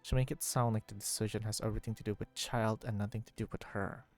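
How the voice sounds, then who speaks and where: A man's voice: on the low side, flat delivery, quick pace, a little mellow, soft background music. A man streaming a video game, giving casual commentary and thinking aloud as he plays.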